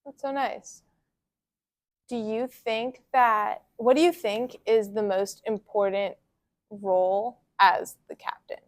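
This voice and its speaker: A woman's voice: mid-range voice, fairly even intonation, slow pace. A woman interviewing a student, asking casual, encouraging follow-up questions.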